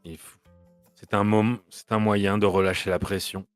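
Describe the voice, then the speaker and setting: A man voicing a polite, refined man who talks in a measured, controlled way with careful diction. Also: on the low side, flat delivery, clear, resonant tone, no echo, soft background music, close to an everyday voice. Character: polite refined male voice professional.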